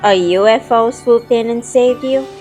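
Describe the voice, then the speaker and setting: A woman's voice: on the high side, a little slow, a little mellow, close to an everyday voice. A young woman playing a spirited, teasing childhood friend in an audio drama, telling the story in her own words and acting out playful banter. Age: young adult. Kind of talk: audio drama narration and dialogue. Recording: music playing behind the voice.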